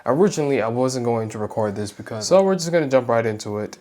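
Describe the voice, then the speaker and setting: A man's voice: medium pitch, lively intonation, quick pace, a little mellow. Casual young man doing let's-play commentary. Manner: informal, slangy reactions. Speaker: gaming YouTuber.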